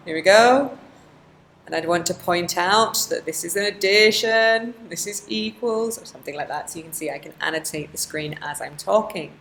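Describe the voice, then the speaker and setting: A woman with a low voice and a noticeable creak talks through an on-screen tutorial. She sounds like a teacher showing colleagues the steps, chatty and self-deprecating, thinking aloud as she goes. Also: fairly bright tone, a little hiss or hum. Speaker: teacher giving a software tutorial. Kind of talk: informal instructional screen-recording walkthrough.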